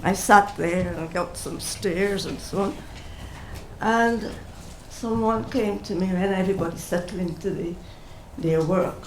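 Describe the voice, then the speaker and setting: Older woman telling stories slowly. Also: lowish voice, a little hiss or hum. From Guyana. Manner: unhurried, chuckles between remarks. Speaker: guest sharing personal memories. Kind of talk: conversational storytelling. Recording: roomy hall, mic at a distance.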